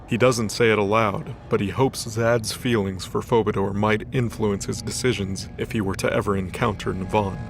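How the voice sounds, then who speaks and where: A man's voice: fairly low pitch, steady tone, moderate pace, few pauses, a little hiss or hum. A man reading scripted fiction as the narrator of a story. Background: background music.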